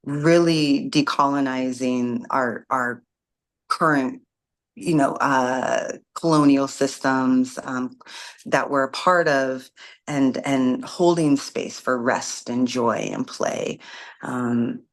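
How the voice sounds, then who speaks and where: A woman's voice: rumbling low, fairly even intonation, slow. A woman guest in an interview, talking thoughtfully about her community organizing work, with frequent ums and restarts. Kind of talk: casual interview conversation.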